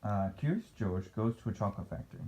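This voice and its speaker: A man's voice: lowish voice, expressive, quick pace, dark tone. A man reading a picture book aloud as a father to a child, playful, with casual asides and questions.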